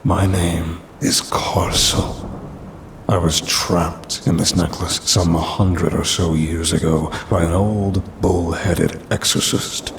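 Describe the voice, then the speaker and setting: A man playing a smug, ancient demon trapped in a cursed necklace in an ASMR roleplay, with haughty, teasing, old-fashioned speech. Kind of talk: ASMR audio roleplay acting. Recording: rumbling rain and thunder noise.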